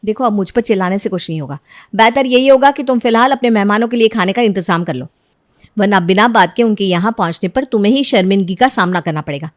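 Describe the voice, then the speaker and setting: A woman voicing a careless, defensive young wife in an animated story. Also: medium pitch, fast, no echo, studio quality, natural voice. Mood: defiant. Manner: defensive, argumentative.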